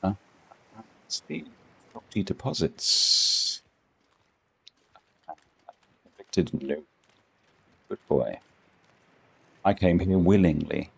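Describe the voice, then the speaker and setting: A man's voice: low-pitched, lively intonation, drawn-out words, vocal fry, long pauses, fairly bright tone, husky, low-quality recording. A middle-aged man with a posh English accent, chatting casually with viewers while playing a game, rambling with dry self-deprecating humour. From England. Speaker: gaming streamer.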